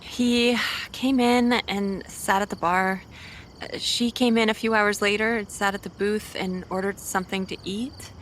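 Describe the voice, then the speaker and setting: Woman acting as reluctant waitress, average pitch, guarded, audio drama witness, faint background noise. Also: fairly even intonation, measured pace, a bit bright, lightly acted. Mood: reluctant, uneasy.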